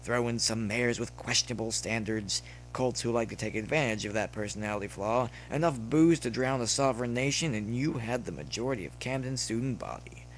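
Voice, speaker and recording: A man reading a scripted story as narrator, in a measured, dramatic delivery that breaks into deliberate phrases. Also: medium pitch, poor audio. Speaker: audiobook-style narrator of fan fiction. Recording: noticeable background noise with hum.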